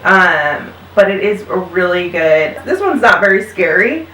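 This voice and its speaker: Upbeat chatty mom in a roomy space. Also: female voice, lowish voice, sing-song, a little slow, bright, forceful tone. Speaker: vlog host and mother. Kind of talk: casual chat recommending podcasts. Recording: roomy sound, mic at a distance.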